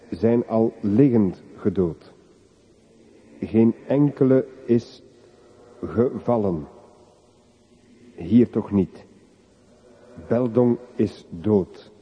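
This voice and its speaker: Very slow, anguished young soldier. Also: male voice, fairly low pitch, slightly rough, full character voice. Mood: bitter, accusing, then despairing, hateful. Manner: halting, broken phrases, grave. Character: anguished young soldier reading out his letter home. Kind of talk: radio play monologue. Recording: slightly dull old radio play recording.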